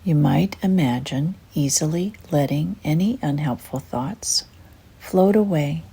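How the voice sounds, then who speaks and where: Slow, low, husky older woman guiding meditation. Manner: gentle, soothing, unhurried with soft phrasing. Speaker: guided meditation narrator, retired psychotherapist. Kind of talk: guided meditation, scripted narration.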